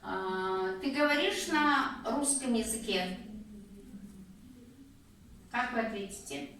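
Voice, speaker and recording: A woman teaching a Russian lesson one-on-one, guiding patiently with slow, clear prompts. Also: fairly high pitch, expressive, a bit bright. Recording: echoey room, distant mic, faint noise.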